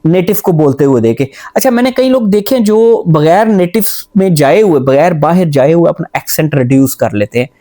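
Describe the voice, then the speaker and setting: A man hosting a conversational interview, who talks in an animated way and asks probing questions. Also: on the high side, brisk, hardly any pauses. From Pakistan. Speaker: host and English teacher.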